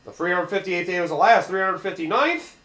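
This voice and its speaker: A co-commentator on a game playthrough, expressive and exclaiming, swearing often in casual chat. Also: mid-range voice, a little fast, roomy sound. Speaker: let's play co-commentator.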